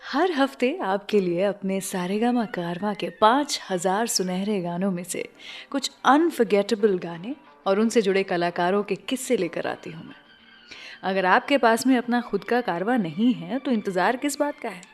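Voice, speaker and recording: A woman's voice: mid-range voice, lively intonation, moderate pace. An Indian-accented woman hosting a radio show with scripted narration, addressing listeners directly and mixing in English phrases. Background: music bed.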